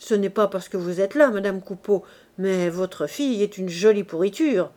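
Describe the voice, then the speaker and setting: A woman's voice: mid-range voice, normal speed, mellow, natural voice. A woman reading aloud voices a haughty, bossy shopkeeper woman who speaks in a cutting, commanding way. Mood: spiteful.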